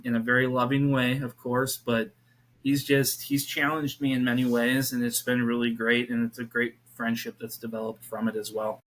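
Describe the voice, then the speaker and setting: A man's voice: medium pitch, fairly bright tone, rough recording. A man in his thirties, a youth pastor, talking earnestly as a podcast guest and rambling with many restarts. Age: 30s. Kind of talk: casual faith conversation.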